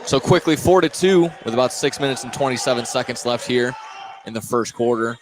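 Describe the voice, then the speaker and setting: A man doing live play-by-play basketball commentary, a little halting, often repeating and correcting himself. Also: mid-range voice. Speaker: play-by-play announcer. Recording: clear gym ambience, bouncing basketballs. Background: basketball game in a gym.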